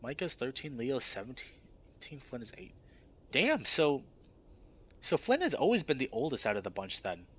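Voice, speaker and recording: A man's voice: mid-range voice, sing-song, moderate pace, long pauses, fairly bright tone, husky, lo-fi sound. A man reading a visual novel aloud expressively and breaking off for casual commentary. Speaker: gaming channel host.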